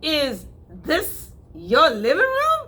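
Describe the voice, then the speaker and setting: A woman's voice: high-pitched, wildly swooping pitch, slow, sharp tone, voice pushed up, full character voice. A woman voicing a boastful, snobbish male city mouse who sneers at simple country life. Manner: bragging, sneering, fussy.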